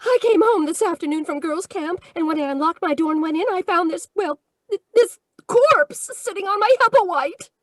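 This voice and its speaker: Flustered young female client, radio comedy: piping high voice, breathless, dry close sound. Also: expressive, normal speed, no echo. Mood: flustered, confused. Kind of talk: old-time radio detective comedy acting.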